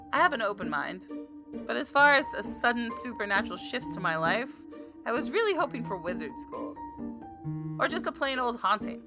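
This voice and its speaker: A woman gamer narrates a story game with a lively, swinging pitch. She reads theatrically and drops into casual asides, with music clearly playing behind her. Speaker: gaming video host reading a visual novel aloud.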